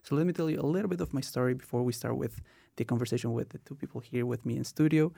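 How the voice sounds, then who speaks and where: A man's voice: mid-range voice, fast talker, vocal fry, mellow, dry recording, clean studio sound. A man in his twenties from Colombia, hosting a student podcast and telling his own story with many "uh" and "um" fillers. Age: 20s. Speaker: podcast host. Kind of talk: casual podcast talk.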